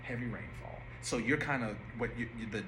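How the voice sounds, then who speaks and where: A man's voice: medium pitch, brisk. A man directing a rehearsal, giving notes in a loose, conversational way and often searching for words. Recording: roomy and noisy rehearsal room.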